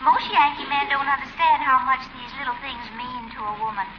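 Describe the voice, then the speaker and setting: An actress playing a flirtatious, sentimental belle in a 1940s radio comedy. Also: female voice, high-pitched, fairly quick, close to an everyday voice. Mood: coy, sentimental. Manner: coy, flirtatious, gushing. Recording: muffled, noisy 1940s radio recording.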